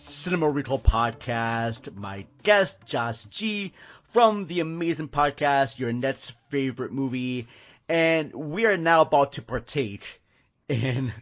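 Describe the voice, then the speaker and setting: A man's voice: medium pitch, expressive, measured pace, fairly bright tone, soft background music. Casual male podcast host, slightly muffled. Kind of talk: casual film discussion.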